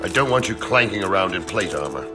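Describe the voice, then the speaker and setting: An actor playing an earnest, dutiful medieval soldier briefing his men in a video game, measured and instructive. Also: deep voice, animated, over music. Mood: commanding, steady. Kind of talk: dramatic video game acting.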